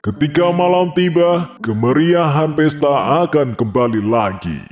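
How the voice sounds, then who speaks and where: An eerie calm party waiter. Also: male voice, a little high, measured pace, faint music behind. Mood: ominous.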